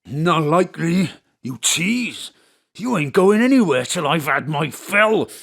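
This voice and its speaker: A woman's voice: low-pitched, sing-song, measured pace, gravelly, heavily acted. A woman playing a sleazy, lecherous male thug who blusters, whines and stammers in an audio drama. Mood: leering. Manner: stammering, whining.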